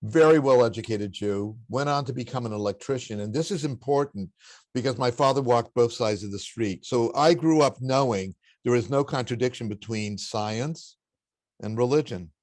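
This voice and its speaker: An older man with a baritone voice, a guest in an interview, telling family stories in a reflective, anecdotal way with occasional chuckles. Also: moderate pace, no room sound. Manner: warm anecdotal storytelling with chuckles. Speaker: interview guest, spiritual teacher.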